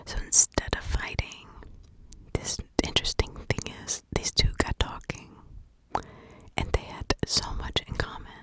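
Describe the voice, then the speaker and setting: A woman telling a true crime story as ASMR in a breathy whisper, in short, halting phrases with pauses. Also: rough recording.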